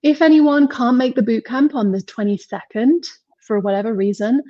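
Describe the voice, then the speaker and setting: A woman's voice: on the high side, moderate pace, dry recording, highs cut off. A woman with an English accent, a marketing mentor and podcast guest who chats in a rambling way and laughs often. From England. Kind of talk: casual interview chat.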